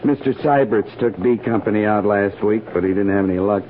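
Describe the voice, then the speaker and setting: An American actor playing a loyal, plain-spoken frontier army sergeant with folksy speech in a 1950s radio western. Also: male voice, fairly low pitch, a little fast, lightly acted. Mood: sober, respectful. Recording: very noisy, tinny old radio recording.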